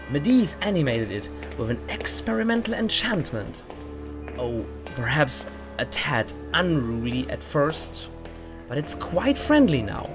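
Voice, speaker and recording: A woman's voice: low voice, heavily acted. A woman playing a fussy, courteous steward of a magical mansion, giving a guided tour in a pompous, formal, theatrical audio drama manner. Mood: proud, showing off. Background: music.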